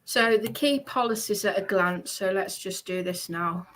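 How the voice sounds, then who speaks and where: A British woman presenting informally, reading a list aloud in a halting, explanatory way and adding casual asides. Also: mid-range voice, fairly bright tone, slight background noise, poor audio. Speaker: presenter going through party policies.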